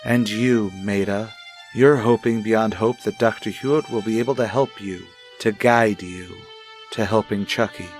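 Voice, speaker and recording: An actor playing an intimate, solemn soap opera narrator in old radio style, with deliberate dramatic pauses. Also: male voice, lowish voice, fairly even intonation, faint music behind, close to an everyday voice. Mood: solemn, confiding.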